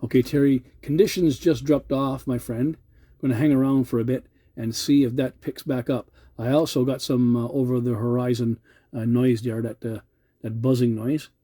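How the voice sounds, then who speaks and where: A middle-aged or older man with a very warm, mellow voice recorded close and dry. He talks casually as a radio hobbyist, polite and measured. He often hesitates and doubles back on his words. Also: mid-range voice, steady tone, dry recording. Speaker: amateur radio operator hosting a video channel. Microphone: cell phone filming the radio.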